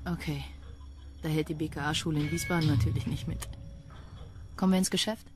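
Low-voiced, dry female detective played by a woman. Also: fairly even intonation, brisk, music in the background, natural voice. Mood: dry, cool. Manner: dry, sardonic, unimpressed. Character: cool, quick-witted female police detective. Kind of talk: German radio crime drama acting.

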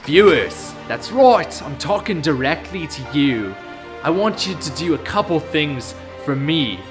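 Man putting on a loud, high-pitched heckler. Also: big pitch swings, a little slow, over music. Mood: scolding, commanding. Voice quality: unsteady, shifting put-on accent. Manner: hectoring, emphatic, bossy. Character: loud, scolding blanket-wrapped heckler who calls everyone laddie.

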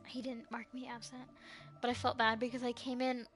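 A woman's voice: a little high, little pitch movement, a little fast, fairly bright tone, soft background music. A young woman chatting casually as she streams a video game. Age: teens to young adult. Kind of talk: casual chat while gaming.

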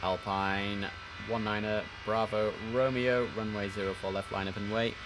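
A man's voice: fairly low pitch, normal speed, loud music behind the voice. Chatty young man in headset. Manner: relaxed, chatty, repeats words for emphasis. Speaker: streamer playing air traffic controller. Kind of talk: casual gameplay commentary with radio calls.

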